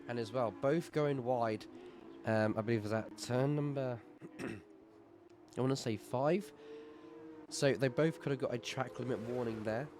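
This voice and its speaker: A man with a sore throat calling a simulated motor race live in quick play-by-play. His voice sits at an ordinary middle pitch. A clear hum of noise runs behind him. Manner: brisk play-by-play, gets excited at overtakes. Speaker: sim racing commentator. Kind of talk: live esports race commentary.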